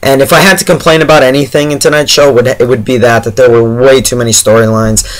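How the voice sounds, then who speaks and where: A man with a hoarse, tired voice giving a casual, chatty, somewhat halting TV episode review straight to his viewers. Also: medium pitch, fairly even intonation, poor audio. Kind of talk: casual spoken review to camera.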